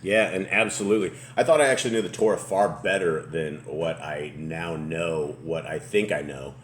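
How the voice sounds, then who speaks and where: A man with a booming voice hosting a casual group Bible study, rambling and restarting as he reads aloud. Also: normal speed, a little hiss or hum. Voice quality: deep booming voice. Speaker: host.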